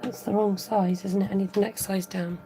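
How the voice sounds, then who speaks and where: A woman hosting a live card-making tutorial, thinking aloud as she works, chatting with viewers and reading out their comments. Her voice is a little croaky. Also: medium pitch, level tone, brisk, noisy background. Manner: rambling, thinks aloud while working. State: slightly croaky voice. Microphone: overhead camera above a craft table, voice from off-frame.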